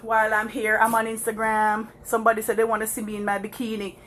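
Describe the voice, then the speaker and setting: A woman's voice: fairly high pitch, completely flat delivery, fast, a bit bright. A Jamaican woman chatting casually to her live viewers while walking around the block, laughing often and calling them guys. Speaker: vlogger.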